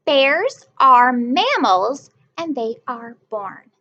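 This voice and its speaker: Woman teacher doing a read-aloud for young kids, high voice, lots of questions and praise, dull home recording. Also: big pitch swings, very slow, pushed and bright. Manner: encouraging, asks children questions.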